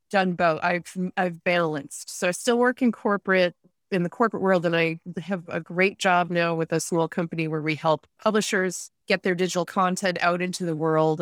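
This is an American woman in her fifties with a voice a little lower than most women's. She speaks as an interview guest, telling things frankly and reflectively. The sound is dry and free of room echo. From United States, upstate New York. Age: 50s. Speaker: podcast guest, writer and podcaster. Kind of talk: candid conversational interview answers.

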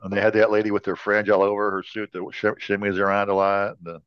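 Lo-fi, low-pitched American man joking around. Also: level tone, fast, clean tone, no echo. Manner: joking, playful asides. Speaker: podcast co-host. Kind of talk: casual chat and informal news retelling.

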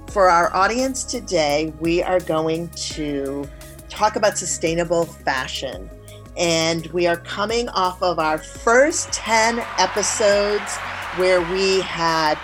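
Woman host, music behind her. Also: lowish voice. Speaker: show host. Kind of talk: scripted-sounding introduction and interview questions.

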